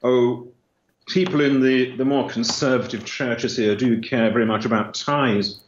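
A man's voice: mid-range voice, fairly even intonation, unhurried. A man in his fifties from London with an English accent, answering as an interview guest. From London, England. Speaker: guest, church historian. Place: indoor room with long table. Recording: roomy, dull, noisy remote link.

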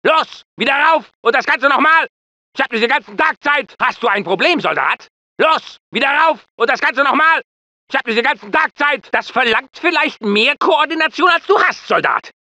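An actor playing a scornful, bullying drill sergeant in a video game, barking commands and taunts emphatically. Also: male voice, extremely high pitch, lively intonation, normal speed, bright, forceful tone, no echo, exaggerated voice. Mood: taunting. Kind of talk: video game training instructions.

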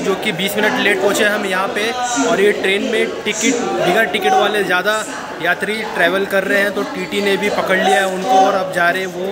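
Man, travel vlogger, high voice, chatty, busy crowd behind. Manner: chatty, gives viewers tips. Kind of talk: casual vlog narration. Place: inside a moving train and on a station platform. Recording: loud crowd around.

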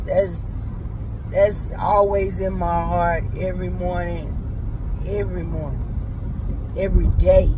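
A woman's voice: on the low side, noisy background. A woman in her fifties talking to camera from a car, earnest and motivational, with an emphatic, preaching manner. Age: 50s. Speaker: vlogger. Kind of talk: casual talk to camera. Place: parked car. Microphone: phone held at arm's length.

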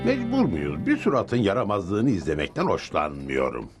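A man's voice: sits low, wildly swooping pitch, normal speed, over loud music. A man voicing a reluctant, disdainful talking horse in a cartoon dub. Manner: dry, disdainful. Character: grumbling, reluctant talking male cowboy's horse. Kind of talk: Turkish cartoon dub acting.

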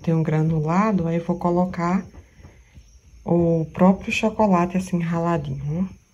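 A woman's voice: fairly low pitch, a little slow, dark tone, muffled recording. A woman from Brazil, chatting casually through a cooking vlog in her home kitchen, fond of diminutives. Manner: chatty, affectionate, lots of diminutives. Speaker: vlogger.

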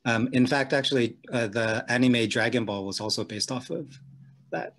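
A man's voice: medium pitch, moderate pace, a bit bright. A man hosting a podcast, asking curious, rambling questions over a headset on a video call from home. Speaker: podcast host. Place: room at home, indoors.

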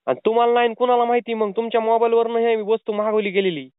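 A man's voice: very high voice, level tone, quick pace, clean tone, no echo. A man making a prank phone call, posing as a delivery man, insistent and pleading. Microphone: phone line. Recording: tinny phone call recording.

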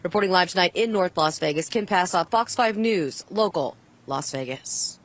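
A woman's voice: lowish voice, normal speed. A young woman giving a live news report outdoors into a handheld microphone. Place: outdoors on a street at night.

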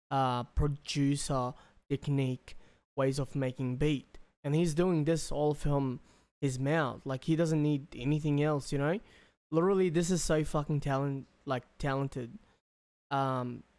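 A man's voice: fairly high pitch, darkish tone. A young man chatting casually as a reaction video host, rambling with slang and swearing. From Australia. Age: young adult. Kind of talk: casual chat, reaction commentary. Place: small bedroom, indoors. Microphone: close desk microphone.

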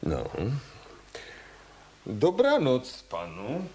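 An actor playing a formal, coldly authoritative hotel manager in a radio drama, measured and condescending. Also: male voice, mid-range voice, huge pitch swings, darkish tone, a little hiss or hum, full character voice.

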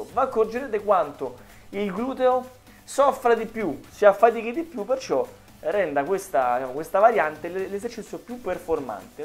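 A man's voice: high voice, darkish tone. Male fitness trainer talking over music. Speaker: personal trainer and fitness channel host. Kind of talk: instructional exercise commentary. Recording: music behind the voice. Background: background music.

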